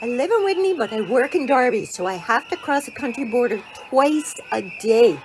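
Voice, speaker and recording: A woman's voice: on the high side, expressive, normal speed, noisy background, lightly acted. A woman playing an exasperated local commuter giving an indignant news street interview in an audio drama. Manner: indignant, protesting.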